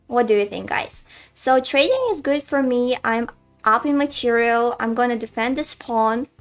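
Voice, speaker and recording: A woman from Russia with a Russian accent, commentating her own chess games live and chatting to viewers as she thinks aloud. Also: a little high. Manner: chatty, addresses chat as guys, thinks aloud. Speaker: chess streamer. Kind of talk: live-stream commentary while playing.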